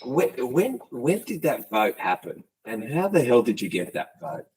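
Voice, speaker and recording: A man's voice: mid-range voice, big pitch swings, a little slow, a little mellow, slightly rough. A man hosting a podcast, joking and teasing his guest in casual chat. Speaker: podcast host.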